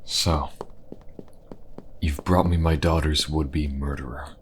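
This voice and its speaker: A man's voice: extremely low pitch, steady tone, slow, a little hiss or hum. An actor playing a stern, vengeful king and father in a fantasy audio drama, commanding and brooding. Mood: menacing.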